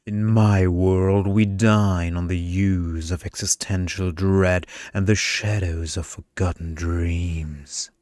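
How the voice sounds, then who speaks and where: A smug male energy vampire, acted out by a man, speaks very low and unhurried. He is pompous and dramatic, droning through gloomy philosophy in a seductive ASMR storybook style. The sound is close and dry. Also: steady tone, a little mellow, dry recording.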